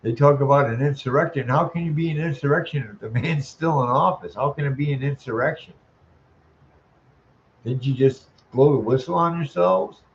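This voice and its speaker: A man's voice: medium pitch, slight background noise. Profane ranting man, dull lo-fi sound. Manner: tags sentences with "man", chuckles, stalls on drawn-out "uh". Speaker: vlogger.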